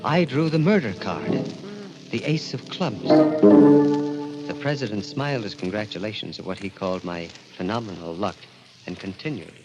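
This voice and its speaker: A man's voice: fairly low pitch, normal speed, close to an everyday voice, rough recording. An actor playing an anguished, guilt-ridden young man, narrating and speaking with dramatic intensity in the style of a 1940s radio mystery. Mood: haunted, brooding. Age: young adult. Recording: old radio recording with music behind.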